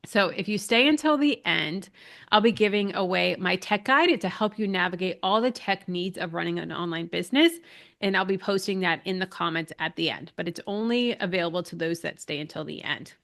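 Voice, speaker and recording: A woman's voice: lowish voice, fairly quick, gravelly, fairly bright tone. A chatty, upbeat woman in her thirties hosts a live online class and greets viewers by name. A lingering cold leaves her a little nasal, with a dry throat and some shortness of breath. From Massachusetts. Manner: chatty, upbeat, reads out chat names. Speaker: online business coach hosting a live class. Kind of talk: casual live webinar talk.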